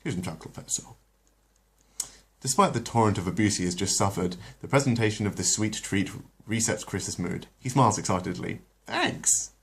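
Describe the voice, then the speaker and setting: A man's voice: on the low side, fairly quick, few pauses. A man hosting his channel, chatting casually with fillers and self-corrections, then reading a story aloud. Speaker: channel host and story reader.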